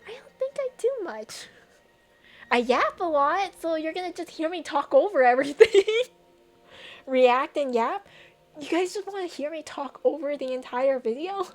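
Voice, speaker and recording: A woman's voice: sits high, animated, moderate pace. A woman streamer chatting casually with viewers, rambling, trailing off and laughing often, her voice a little tired. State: voice getting tired. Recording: faint music behind.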